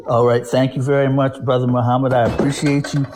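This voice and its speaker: A man's voice: mid-range voice, flat delivery, a little fast. Male guest on a noisy line. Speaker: podcast guest. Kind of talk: brief, courteous conversation. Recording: noisy remote connection with clicks.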